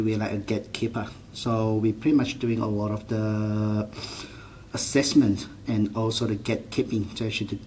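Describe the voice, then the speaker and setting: Malaysian man, low earnest voice. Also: a little mellow, slight background noise. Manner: earnest, with frequent restarts and non-standard grammar. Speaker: general practitioner. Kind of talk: interview answers.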